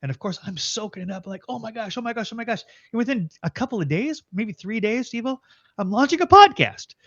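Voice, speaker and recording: A man's voice: high voice, expressive, fast talker, fairly bright tone, no echo, dull audio. A man hosting a podcast over a video call, talking enthusiastically and expressively. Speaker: podcast host. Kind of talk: casual video-call chat.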